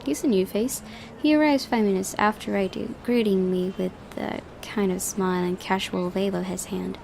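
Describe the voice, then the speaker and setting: A woman's voice: medium pitch, fairly even intonation, normal speed, creaky, a little mellow, a little hiss or hum. A woman hosting a gaming video, reading visual novel narration aloud and adding casual commentary.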